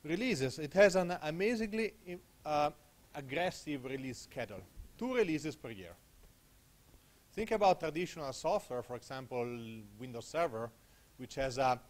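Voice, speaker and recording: Italian-accented man giving a tech talk. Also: mid-range voice. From Italy. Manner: conversational, tags points with "okay?". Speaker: conference speaker. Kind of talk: technical conference talk. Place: small conference room with audience. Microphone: handheld microphone.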